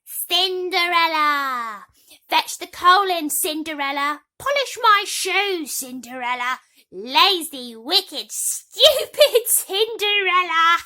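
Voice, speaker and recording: A woman voicing a mean, spoilt young stepsister in a storybook, shrieking drawn-out, bossy commands. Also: very high-pitched, very animated, pushed and bright, voice pushed up, heavily acted. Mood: shrill, bossy.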